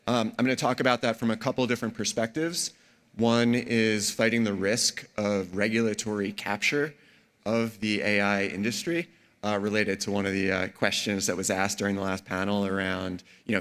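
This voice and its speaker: A man with a cold giving an energetic conference talk, with frequent fillers and restarts. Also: on the low side. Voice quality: a bit congested. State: under the weather, has a cold. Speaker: conference speaker.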